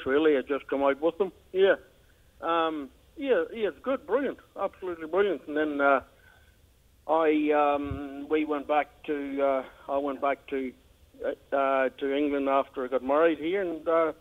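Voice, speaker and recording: An older man with a Northern Irish accent, a harness racing trainer, answering in a laconic, halting way with a dry sense of humour. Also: on the high side. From Northern Ireland. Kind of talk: phone interview. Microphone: phone. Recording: tinny phone line.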